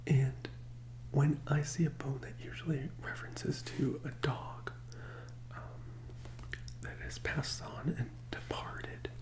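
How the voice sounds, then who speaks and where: A man doing a soft-spoken ASMR role-play as a gentle psychic medium, in a breathy, hesitant whisper close to the microphone. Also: mid-range voice, flat delivery, slow, rough recording. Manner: hesitant, gentle, soothing delivery.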